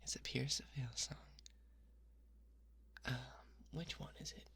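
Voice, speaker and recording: A woman's voice: a little slow, fairly bright tone. A young woman whispering in a playful, rambling ASMR monologue, teasing the listener and repeating words over and over. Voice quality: whispering throughout. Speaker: ASMR creator.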